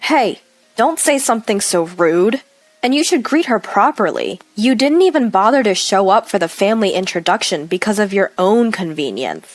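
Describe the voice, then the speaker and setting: A woman's voice: mid-range voice, very animated, normal speed, no room sound, lightly acted. A woman voicing a protective younger husband in a story, stern and earnest. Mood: scolding. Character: protective younger husband scolding his rude sister.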